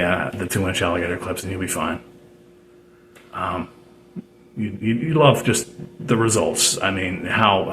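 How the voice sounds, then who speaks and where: A man giving an unscripted, casual how-to explanation as a hobbyist, in a hesitant, rambling way with repeated phrases. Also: low-pitched. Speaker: DIY radio hobbyist presenting a tutorial. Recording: roomy echo with background noise.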